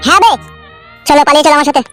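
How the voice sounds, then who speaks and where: A woman voicing a frantic young village husband in a comic cartoon, whiny and coarse, with a pitched-up voice. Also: rapid-fire, a bit bright, soft background music. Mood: defiant urgency. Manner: pleading, coarse village talk.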